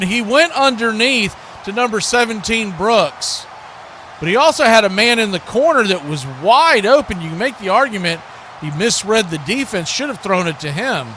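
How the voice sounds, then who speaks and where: An American man calling live football play-by-play in excitable, clipped phrases. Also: high-pitched, a little hiss or hum. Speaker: play-by-play announcer.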